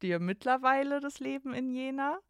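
A woman hosting a university podcast, politely welcoming her guest and asking clear questions. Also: high voice, moderate pace, no room sound, clean studio sound. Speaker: podcast host, university international office staff. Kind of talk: podcast interview.

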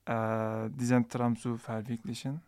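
Young man, halting speech full of fillers, slow, mellow smooth voice. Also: mid-range voice, flat delivery, no echo, studio quality. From Turkey. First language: Turkish. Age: 20s. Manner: hesitant, many filler sounds and restarts. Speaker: guest, international student. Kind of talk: podcast interview.

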